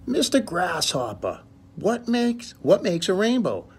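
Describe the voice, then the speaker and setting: A man's voice: sits high, huge pitch swings, a little slow, pitched above the performer's own voice, exaggerated voice. A man voicing a curious young rabbit from a picture book, asking eager questions in an emphatic sing-song way. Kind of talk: children's picture book read-aloud. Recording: clear background room noise, phone recording.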